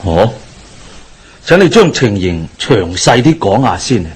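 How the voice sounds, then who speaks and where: Husky, low-voiced Taoist exorcist master, Hong Kong Cantonese. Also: male voice, sing-song, exaggerated voice. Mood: calm, knowing. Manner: measured, knowing, formal. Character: calm, authoritative male Taoist exorcist master. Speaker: radio drama actor. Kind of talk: Cantonese radio drama acting.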